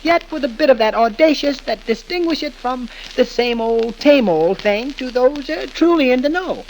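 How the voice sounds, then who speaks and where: An American actor playing a bumbling, eager young husband in a 1930s radio comedy, halting and flustered. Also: male voice, extremely high pitch, expressive, a little mellow. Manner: halting, flustered, full of uhs. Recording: noisy, crackly 1930s radio broadcast.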